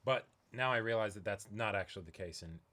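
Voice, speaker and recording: A man's voice: lowish voice, fast talker, sharp tone. A man co-hosting a livestream, thinking aloud in rambling, casual banter. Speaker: streamer co-hosting a live reading stream.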